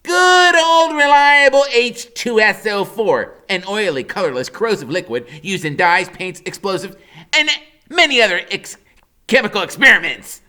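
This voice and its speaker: A man's voice: sits high, lively intonation, normal speed, bright, forceful tone, voice pushed up. A man voicing a brave, brainy little boy in an audiobook, with a bold, cocky, theatrical manner. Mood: smug, bluffing. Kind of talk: dramatic audiobook character reading.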